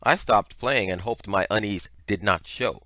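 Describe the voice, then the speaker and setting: Low-voiced, forceful male storyteller. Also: measured pace, dry recording. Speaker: audiobook reader. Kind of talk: scripted storytelling narration.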